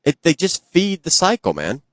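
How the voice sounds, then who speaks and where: A man's voice: a little high, wildly swooping pitch, normal speed, no room sound, studio quality. A man co-hosting a podcast in casual, comedic banter. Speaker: podcast co-host.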